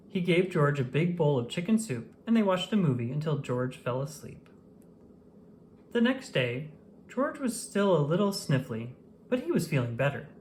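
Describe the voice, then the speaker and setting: A person reading a children's storybook aloud in an expressive, animated way with dramatic emphasis. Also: mid-range voice, a little hiss or hum. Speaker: children's book reader.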